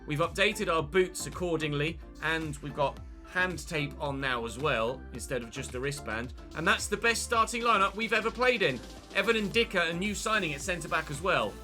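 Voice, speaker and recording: A young man commentating his football video game career, chatty and excitable, breaking into shouts when he scores. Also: fairly high pitch, normal speed, faint music behind. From England. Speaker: gaming YouTuber.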